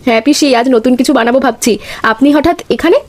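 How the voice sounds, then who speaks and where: High, fast, eager young village woman actress. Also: noisy background, close to an everyday voice. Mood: cheerful, helpful. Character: eager, helpful young village daughter-in-law. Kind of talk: scripted cartoon dialogue.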